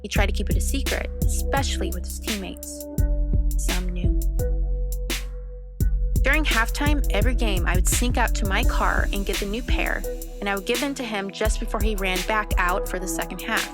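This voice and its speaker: An actress playing a reflective teenage girl sleuth, narrating her own story in an audio drama in a measured, confiding way. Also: medium pitch, close to an everyday voice. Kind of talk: first-person scripted drama narration. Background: loud music bed.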